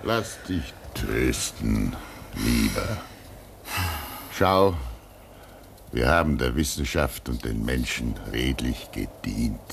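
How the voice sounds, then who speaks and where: A man's voice: a bass voice, lively intonation, slow, creaky, long pauses, a little hiss or hum, full character voice. An actor in a German radio play, playing a pious, fatherly older polar researcher who is earnest, soothing and admonishing. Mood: consoling.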